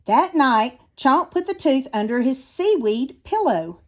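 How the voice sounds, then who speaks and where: A woman reading a children's storybook aloud as an expressive narrator. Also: high-pitched, a little slow. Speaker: storybook reader.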